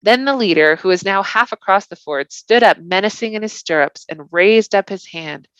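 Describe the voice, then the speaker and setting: A woman reading a novel aloud as scripted bedtime narration, with deliberate, expressive, dramatic phrasing. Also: medium pitch, dry recording, lo-fi sound. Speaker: volunteer storybook reader.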